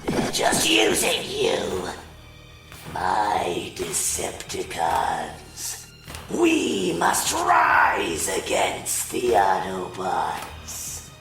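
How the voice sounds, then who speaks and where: An actor playing a raging, militant robot rebel, declaiming and shouting like a fanatic. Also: male voice, low-pitched, huge pitch swings, drawn-out words, gravelly, roomy sound, distant mic, over music, heavily acted. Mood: furious.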